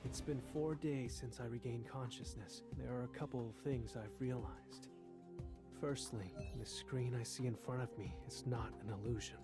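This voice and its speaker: An actor playing a determined, introspective young monster hunter in an anime-style game, speaking earnest, brooding inner monologue. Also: male voice, mid-range voice, natural voice. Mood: reflective. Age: young adult. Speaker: game protagonist. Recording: music behind the voice. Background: game soundtrack music.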